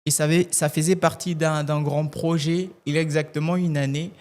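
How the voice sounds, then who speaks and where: A man from Kasai-Oriental with Tshiluba as his first language, a gospel singer answering interview questions in a measured way and often repeating words as he looks for them. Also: a little high, little pitch movement, a little mellow. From Kasai-Oriental, DR Congo. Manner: measured, repeats words while searching for phrasing.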